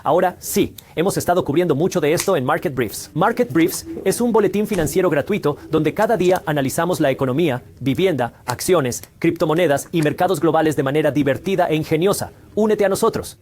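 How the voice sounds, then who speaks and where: A man narrates a scripted explainer in a fairly high-set voice. He moves very quickly through his points. His manner is didactic, posing questions and answering them like a teacher. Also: a little mellow. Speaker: host of a finance explainer channel. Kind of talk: scripted explainer narration, dubbed from another language.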